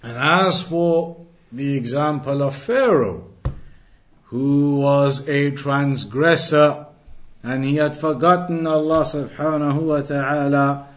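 A man giving an Islamic lesson as a religious teacher, reciting from a text and explaining it in a deliberate way, repeating phrases for emphasis. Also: fairly high pitch, steady tone.

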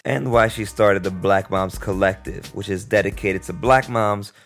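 A man's voice: on the low side, moderate pace, soft background music. A man speaking as a podcast host in a casual, conversational interview style. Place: remote long-distance call from Costa Rica.

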